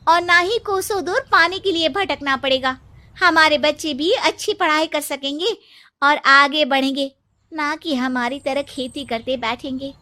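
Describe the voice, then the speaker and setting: A bold cartoon villager who calls out loudly and stirs up the crowd, voiced by a woman. His voice rises eagerly. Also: sits high. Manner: loud and rallying. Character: bold village man, rousing his neighbors.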